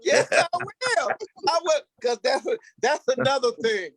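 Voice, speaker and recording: A man's voice: very high-pitched, big pitch swings, measured pace, vocal fry, fairly bright tone. A man who acts on stage, talking informally in an interview and repeating phrases emphatically for stress. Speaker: stage play cast member, actor.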